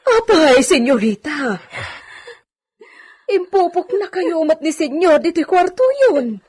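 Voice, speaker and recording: A woman's voice: very high voice, lively intonation, slow pace, a little mellow, exaggerated voice. A woman playing an anxious, bossy household servant in a radio drama, speaking urgently with exclamations. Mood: alarmed.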